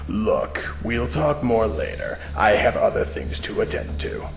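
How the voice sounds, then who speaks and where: A man playing a cold, scheming corporate scientist in an audio drama, measured, smug and condescending. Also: fairly low pitch, huge pitch swings, vocal fry, exaggerated voice. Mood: dismissive. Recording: roomy echo, music underneath.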